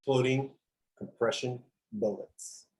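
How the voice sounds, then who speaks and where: A man's voice: medium pitch, steady tone, slow, darkish tone, slightly rough, dry recording. A man playing a cocky, blunt antihero soldier in a script read-through. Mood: smug.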